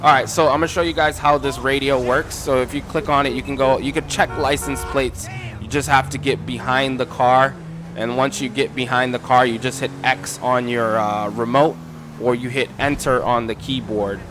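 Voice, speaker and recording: A man's voice: medium pitch, steady tone, moderate pace, music in the background. A man casually walking viewers through game mods in an informal, instructive way, addressing them as guys. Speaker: gaming tutorial creator.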